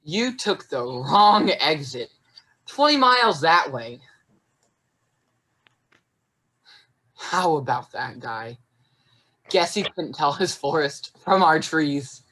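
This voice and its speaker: A woman's voice: low-pitched, lively intonation, slow, pushed and bright, slightly rough, heavily acted, rough recording. A young woman playing a wry, sceptical fairytale character in a comic stage play. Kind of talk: comic stage acting over video call.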